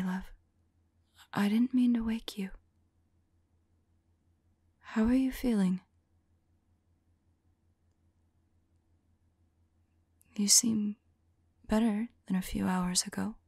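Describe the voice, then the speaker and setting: Woman acting a whispery, slow-spoken vampire lover. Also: mid-range voice, no echo, heavily acted. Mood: gentle, concerned. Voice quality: soft, breathy, whispery. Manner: slow, hesitant, intimate. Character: tender, sorrowful centuries-old female vampire lover. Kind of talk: ASMR roleplay. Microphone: close microphone. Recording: close, dry microphone.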